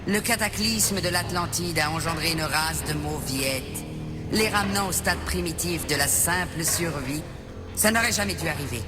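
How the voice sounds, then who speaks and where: A woman's voice: sits low, normal speed, natural voice. An actress playing a cold, imperious female villain in a video game cutscene, declaiming with grandiose contempt. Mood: disdainful, solemn. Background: loud music.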